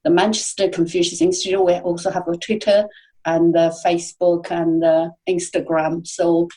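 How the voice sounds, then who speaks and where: Hesitant woman guest, mellow low voice. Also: level tone, moderate pace. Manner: hesitant, many uhs and fillers. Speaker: podcast guest. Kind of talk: casual interview answers.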